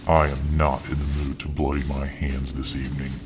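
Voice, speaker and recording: A man's voice: rumbling low, monotone, normal speed. A man voicing a mighty, proud demigod strongman who bellows commands. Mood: commanding. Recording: music bed behind.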